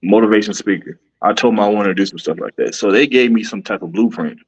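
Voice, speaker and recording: A man's voice: on the low side, quick pace, husky, muffled recording. A man, a podcaster and musician, talking earnestly in a casual interview with frequent restarts. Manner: earnest, rambling, many restarts.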